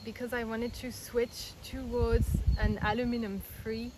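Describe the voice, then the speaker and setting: A woman speaks in a fairly high voice, explaining things earnestly and step by step. She often restarts her sentences as she talks. The interview was recorded outdoors, with clear noise behind her. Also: level tone, a little slow. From Belgium, raised in France. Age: 30s. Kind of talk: casual explanatory interview answers. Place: outdoors in India.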